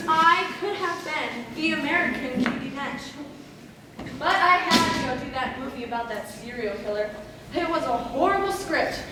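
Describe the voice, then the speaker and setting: A woman acting in a stage play as a vain, self-dramatizing movie star in her forties, with a grand theatrical manner. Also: high voice. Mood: grandiose. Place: stage in a large hall, far from microphone. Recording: distant, echoey, noisy stage recording.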